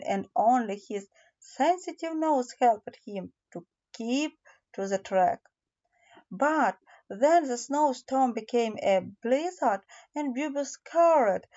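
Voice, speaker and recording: A woman narrating a children's fairy tale in a deliberate storybook manner, with small hesitations. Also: a little high, mellow, lo-fi sound. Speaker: audiobook narrator.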